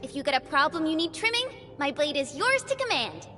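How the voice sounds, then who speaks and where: A young female special operator in a video game, very high-voiced and animated. She is breezy and boastful, a cocky fighter who sounds cheerful, with music clearly behind her. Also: a little fast, heavily acted. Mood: cocky, upbeat.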